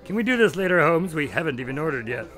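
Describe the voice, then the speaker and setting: Radio drama lead: a man plays a courteous, put-upon doctor, narrating in a slightly higher voice. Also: very animated, fast talker, fairly bright tone, soft background music. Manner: polite and exasperated. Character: polite, slightly exasperated male doctor narrator.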